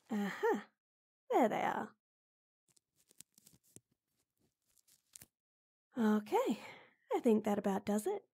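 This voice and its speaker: A woman playing a gruff but caring tomboy best friend in an intimate audio roleplay, blunt and exasperated, with frequent sighs. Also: fairly high pitch, warm tone, exaggerated voice. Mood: brisk, caring.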